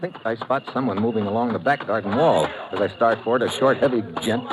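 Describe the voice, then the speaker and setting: An actor playing a terse, wisecracking American investigator, delivering tough noir narration and dialogue for radio. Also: male voice, medium pitch, a little fast, close to an everyday voice. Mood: urgent narration. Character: hard-boiled, wisecracking American investigator hero. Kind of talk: first-person noir narration and radio acting. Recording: noisy old-time radio recording.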